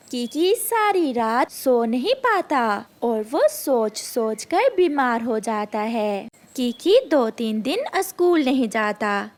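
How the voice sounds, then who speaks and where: High-pitched, unhurried woman storyteller. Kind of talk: scripted children's story narration.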